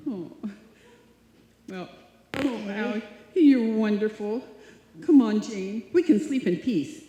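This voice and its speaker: Woman as naive slow-talking ditz, echoey. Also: medium pitch, expressive, mellow, a little hiss or hum. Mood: adoring. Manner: guileless, dim-witted. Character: naive, scatterbrained, adoring young female roommate. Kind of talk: live old-time radio comedy acting. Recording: echoey hall, live stage performance.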